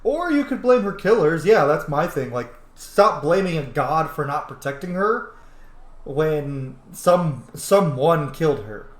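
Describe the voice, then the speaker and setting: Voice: medium pitch, very animated, measured pace, roomy sound. A game streamer giving casual roleplay commentary in a candid way, with some swearing. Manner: candid, profane. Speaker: gaming video host.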